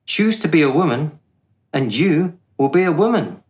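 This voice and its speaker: A man playing a smooth, condescending afterlife official in a radio play, officious and deliberate in manner. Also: a little high, sing-song, darkish tone. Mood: smugly amused. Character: smooth, condescending male afterlife bureaucrat judging the dead.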